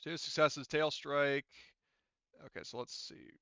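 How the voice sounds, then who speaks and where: A man running a tabletop roleplaying game as game master, casual and sweary, halting as he mutters through the rules. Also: on the high side, fairly even intonation, creaky, a bit bright, dull audio, slight background noise. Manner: halting, muttering to himself while checking rules.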